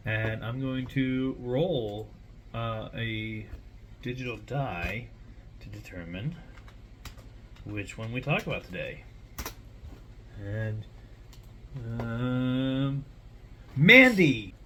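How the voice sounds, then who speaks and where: A man's voice: mid-range voice, expressive, drawn-out words, long pauses, pushed and bright, a little hiss or hum. A man speaking casually and informally, explaining things in a conversational monologue as a writer and host. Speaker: writer and host introducing a story character.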